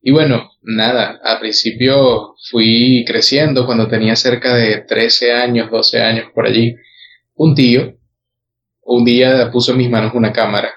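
Venezuelan man, hesitant relaxed storytelling. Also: mid-range voice, a little slow, lo-fi sound. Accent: Venezuelan Spanish. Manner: relaxed, many hesitations and repeated words. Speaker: guest photographer. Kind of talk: casual interview chat.

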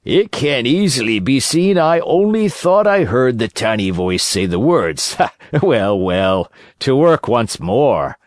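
A man plays an old carpenter who scares easily in a storybook reading. He mumbles to himself with nervous laughs, and his pitch jumps around a great deal. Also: wildly swooping pitch, moderate pace, few pauses, husky, no room sound, clean studio sound. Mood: uneasy.

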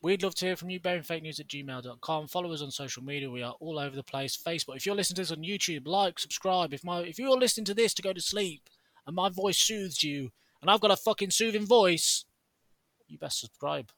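A man's voice: fairly high pitch, fairly quick, fairly bright tone, dry recording. A man co-hosting a podcast, theatrical, jokey and sarcastic in casual chat. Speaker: podcast co-host.

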